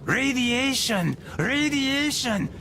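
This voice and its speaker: An actor playing an eerie, servile underling in a video game, speaking obsequiously in a high, creaky voice. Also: male voice, lively intonation, slow pace, noisy background, exaggerated voice. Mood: alarmed. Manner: obsequious, parroting in short exclamations. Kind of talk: dramatic video game acting.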